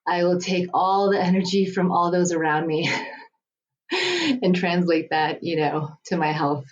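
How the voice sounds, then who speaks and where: A woman's voice: fairly low pitch, lively intonation, unhurried, dull audio. A woman in her forties talking candidly in a casual interview, laughing often. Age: 40s. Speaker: guest. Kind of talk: casual conversation.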